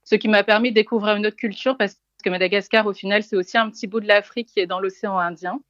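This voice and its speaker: A woman's voice: mid-range voice, fairly even intonation, quick pace, a bit bright. A young woman in her twenties from French Guiana speaks as a podcast guest. She is articulate and earnest. Her voice reaches us as dull, muffled audio, dry with no room echo. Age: 20s. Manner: articulate and earnest, with occasional fillers. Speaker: interview guest, entrepreneur. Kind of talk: conversational interview.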